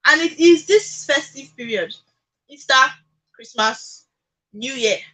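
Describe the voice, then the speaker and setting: A young woman with a Nigerian accent in an animated radio discussion, speaking emphatically with repetition and rhetorical questions. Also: high-pitched, slow, poor audio. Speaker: radio talk show speaker.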